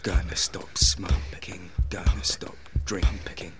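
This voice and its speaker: An actor playing an anxious, self-deluding man muttering clipped, repetitive resolutions to himself in a breathy half-whisper, as an audio drama inner monologue. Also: low-pitched, little pitch movement, takes it slowly, over music. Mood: determined muttering.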